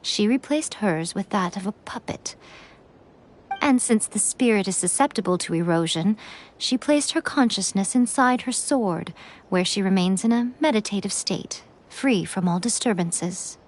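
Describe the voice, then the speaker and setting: An actress playing a teasing, elegant, centuries-old fox shrine maiden in a video game, sly, languid and condescending. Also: female voice, mid-range voice, lively intonation, a little slow, a little hiss or hum, natural voice. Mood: calm, explanatory. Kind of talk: video game character dialogue. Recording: game music behind the voice.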